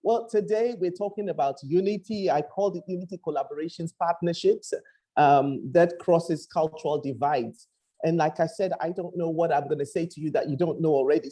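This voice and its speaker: A woman from Ghana with a Ghanaian accent gives an earnest, reflective sermon-style talk, often pausing and repeating words. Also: deep voice, dark tone, husky. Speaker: pastor. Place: home in Accra, speaking to a remote audience.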